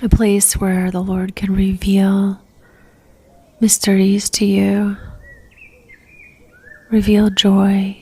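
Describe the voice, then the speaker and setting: A woman leading a guided religious meditation in a soft, near-whispered voice, gentle and calm, leaving long pauses. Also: mid-range voice, breathy edge.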